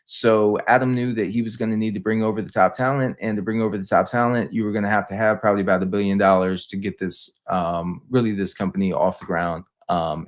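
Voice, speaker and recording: A man giving an unscripted financial debrief on a livestream, explaining things in a halting, repetitive way. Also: fairly low pitch. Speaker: stock commentator on a livestream.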